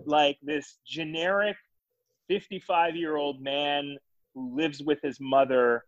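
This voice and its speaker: A man's voice: on the high side, steady tone, slow, dry recording, highs cut off. A man from Israel chatting casually as co-host, thoughtful and hesitant, with frequent fillers. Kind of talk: casual chat.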